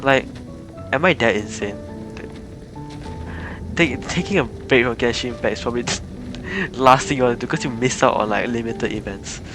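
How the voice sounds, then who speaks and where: A man's voice: medium pitch, animated, moderate pace. A man giving casual, rambling and giggly live commentary as a game streamer. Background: game music.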